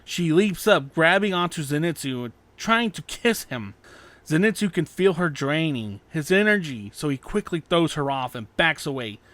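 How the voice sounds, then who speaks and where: A man's voice: fairly high pitch, expressive, normal speed, fairly bright tone. A man narrating scripted fan fiction as an audiobook.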